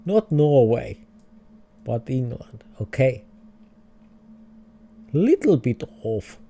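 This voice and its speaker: A man's voice: mid-range voice, very animated, extremely slow, gravelly, dark tone, breathy edge. A man with a Finnish accent, streaming a geography game and thinking aloud in hesitant, chuckling English. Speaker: livestreamer. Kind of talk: casual game livestream commentary.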